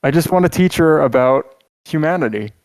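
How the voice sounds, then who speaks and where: A man's voice: on the high side, animated, moderate pace, dry recording, poor audio. A man co-hosting a podcast in casual chat, rambling and joking with lots of filler words and restarts. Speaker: podcast co-host.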